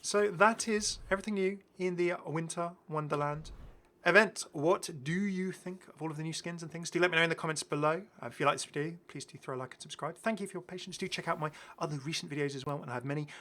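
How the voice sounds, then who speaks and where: A man's voice: fairly high pitch, fast talker, few pauses, sharp tone. A man hosting a gaming video with casual, enthusiastic and chatty commentary. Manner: chatty, prone to chuckling asides.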